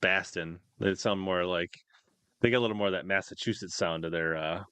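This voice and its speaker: A man's voice: sits low, fairly quick, bright, forceful tone, smooth, dry recording. A man hosting a fishing livestream, chatting casually and ramblingly as he passes on questions to his guest. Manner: rambling, reads out viewer questions. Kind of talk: casual live-stream interview chat.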